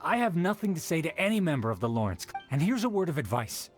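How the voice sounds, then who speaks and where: An actor playing a resentful common laborer in a video game, curt and dismissive. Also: male voice, on the high side, very animated, fast talker. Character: gruff, resentful male townsman, a common laborer. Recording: game music behind the voice. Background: music.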